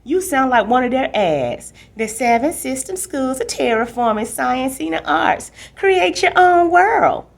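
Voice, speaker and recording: A woman's voice: high-pitched, big pitch swings, measured pace, gravelly, hardly any pauses, pitched above the performer's own voice, exaggerated voice. A woman reading a storybook as a small nine-year-old boy, a pleading, sulky and teasing little brother. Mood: mocking, dramatic.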